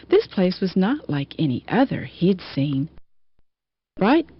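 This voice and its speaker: A woman narrating a rhyming children's picture book in an expressive storytelling manner. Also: lowish voice, slightly rough. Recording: dull, lo-fi audio.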